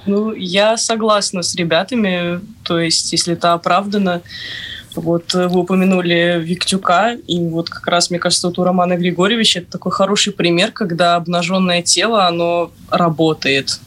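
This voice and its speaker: A woman's voice: lowish voice, steady tone, normal speed, fairly bright tone. A young woman on a panel giving her opinions casually, often qualifying what she says. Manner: informal, full of self-qualification. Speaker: panel guest.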